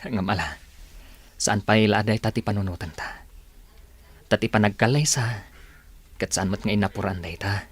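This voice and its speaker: A man with an Ilocano accent playing a soft, earnest and persuasive young suitor in a radio drama. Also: on the low side, moderate pace, pauses often, breathy edge, no room sound. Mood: tender, pleading.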